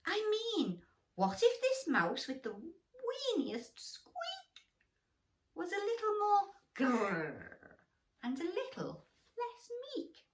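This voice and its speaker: A woman's voice: very high voice, wildly swooping pitch, slow, pitched above the performer's own voice, full character voice. A woman voicing a tiny, meek but earnest male mouse in a children's storybook, exclaiming hopefully. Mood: excited, hopeful. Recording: roomy echo, distant microphone.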